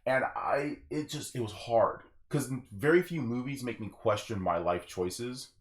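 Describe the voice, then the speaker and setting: A man's voice: medium pitch, measured pace. A young man hosting a gaming podcast, talking casually and giving his opinions in rambling stories with acted-out asides. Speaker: podcast host.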